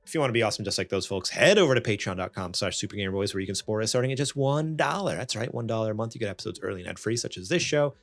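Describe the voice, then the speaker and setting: A thirty-year-old man hosts a casual podcast with a very animated, expressive delivery. He is self-deprecating and jokey. The sound is dry and close, with faint music underneath. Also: on the low side, racing, hardly any pauses, no echo. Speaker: podcast host. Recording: music playing behind. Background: background music.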